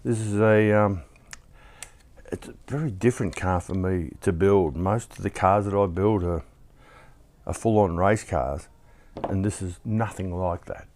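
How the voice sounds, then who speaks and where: A man hosting a casual hobby build tutorial. His voice is slightly croaky after being ill, and he talks in a chatty, easygoing way, thinking aloud as he works. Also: low voice, measured pace, a little mellow. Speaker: hobby shop host building a model kit.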